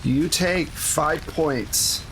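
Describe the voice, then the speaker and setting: A man's voice: fairly high pitch, drawn-out words, fairly bright tone, low-quality recording. Casual man running a tabletop game, faint noise. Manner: casual, conversational. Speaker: game master. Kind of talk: narrating a tabletop roleplaying game.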